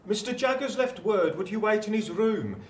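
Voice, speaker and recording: An actor playing an officious, matter-of-fact law clerk in a theatrical radio play. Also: male voice, high-pitched, animated, moderate pace. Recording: echoey, distant, noisy background.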